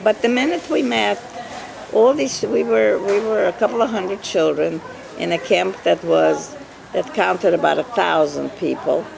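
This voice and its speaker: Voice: mid-range voice, unhurried, vocal fry, crowd noise, rough recording. An older interviewee born in Russia with Russian as a first language, telling life stories emphatically and asking rhetorical questions. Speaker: Yiddish teacher, interviewee. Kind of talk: personal storytelling in an interview.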